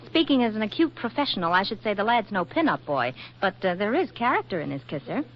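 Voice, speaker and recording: An actress playing a spirited, wisecracking young magazine photographer in a 1940s radio drama, sassy and mock-dramatic. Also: female voice, medium pitch, very animated, quick pace, lightly acted. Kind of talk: 1940s radio comedy-romance acting. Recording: muffled 1940s radio recording.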